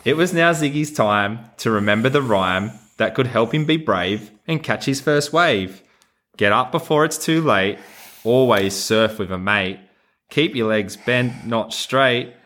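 A man's voice: mid-range voice, lively intonation, measured pace, fairly bright tone. An Australian man who hosts a podcast, talking casually and reading a children's storybook in a playful, encouraging way. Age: adult. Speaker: podcast host.

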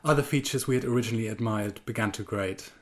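A man's voice: fairly low pitch, quick pace. A man in his twenties or thirties, originally from Germany, answering interview questions hesitantly with many ums and restarts, then reading from his own piece. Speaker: guest writer.

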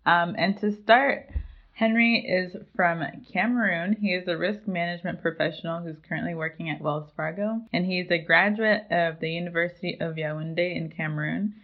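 A woman hosts a podcast, introducing her guest and asking short, friendly questions. Her voice has a gravelly, creaky edge. The sound is thin and tinny, like a phone line. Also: on the low side, fairly even intonation, normal speed. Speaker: podcast host. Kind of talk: podcast interviewing.

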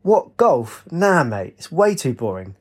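A man's voice: on the high side, sing-song, a little slow, pitched above the performer's own voice. A man voicing an upbeat, easily startled young-at-heart raver with slangy, exclaiming banter in a story. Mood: indignant.

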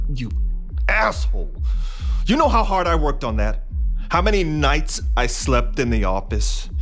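An actor playing a crude, washed-up male private detective in a noir parody podcast, gruff, blunt and sardonic. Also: medium pitch, a bit bright. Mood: bitter, angry. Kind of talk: hard-boiled noir parody audio drama acting.

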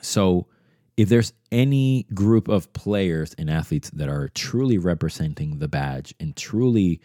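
A man in his thirties with a very low, mellow voice, from Venezuela. He hosts a solo podcast and thinks out loud in a rambling, reflective way. He is recorded close and dry on a podcast mic. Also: expressive, a little slow, no echo. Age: 30s. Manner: reflective, rambling, frequent restarts and asides. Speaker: podcast host. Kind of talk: casual solo podcast monologue.

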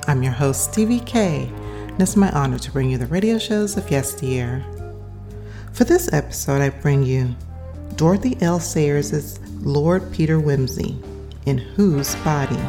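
A woman with a low-pitched voice hosts a podcast. She reads a scripted introduction, with music clearly audible behind her. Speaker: podcast host.

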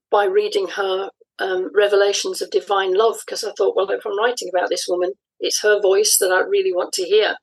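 A woman giving thoughtful, explanatory interview answers in a conversational style, often pausing mid-phrase. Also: mid-range voice, slightly rough, rough recording. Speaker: interview guest, audio drama writer.

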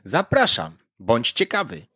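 A man's voice: medium pitch, wildly swooping pitch, takes it slowly, bright, forceful tone, no room sound. A man hosting an educational podcast, reading a scripted narration and explaining things directly to the listener. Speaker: podcast host.

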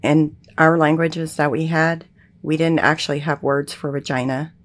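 A young adult woman whose first language is Pennsylvania Dutch, giving candid personal testimony as an interview guest in a measured, quietly emphatic way. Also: low-pitched, level tone.

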